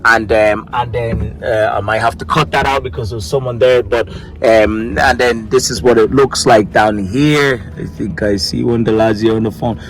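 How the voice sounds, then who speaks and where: A man's voice: medium pitch, poor audio. A man in his thirties, from Tanzania and raised in Ireland. He works as a marketing coach and talks casually to camera from an office booth, rambling and correcting himself as he goes. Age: 30s. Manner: rambling, restarts and self-corrections. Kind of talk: casual unscripted talk to camera.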